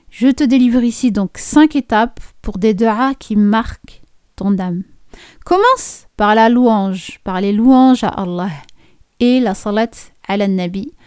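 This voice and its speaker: A woman coaching viewers on prayer and pilgrimage, speaking gently and directly to the listener, sometimes dropping to a soft near-whisper. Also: mid-range voice, smooth, no room sound, clean studio sound. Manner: slow, deliberate delivery with soft intimate passages. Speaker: hajj preparation coach. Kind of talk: direct-to-camera spiritual guidance.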